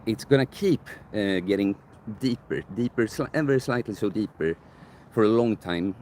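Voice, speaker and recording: Deep-voiced man rambling on a live stream. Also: moderate pace, a little mellow, slight background noise. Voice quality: deep bass voice. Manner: rambling, self-correcting, thinking aloud. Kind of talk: casual live-stream chat answering viewer questions. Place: outdoors in a park near sunset. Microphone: phone camera held by the streamer.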